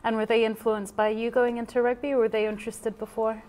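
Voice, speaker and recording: A woman's voice: on the high side, flat delivery, fast, darkish tone. A woman interviewer asking questions in a casual, conversational style.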